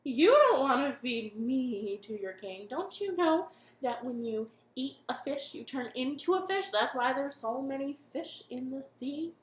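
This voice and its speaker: A woman voicing a clever fish that talks in a calm, persuasive way, in a roomy echo. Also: high voice, unhurried, a little hiss or hum.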